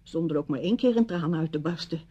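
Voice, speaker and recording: Bitter hurt woman, radio drama, lowish pitch, husky, reproachful, intense. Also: fast, mellow, dull audio. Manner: reproachful, emotionally intense monologue. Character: bitter, wounded single woman confronting her friend.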